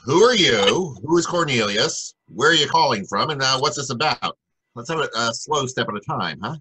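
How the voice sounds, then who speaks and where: A man's voice: mid-range voice, animated, moderate pace, pushed and bright, slightly rough, rough recording. A man playing a wry, hard-boiled American private detective, tough and clipped, in 1940s radio drama style. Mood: brusque, probing.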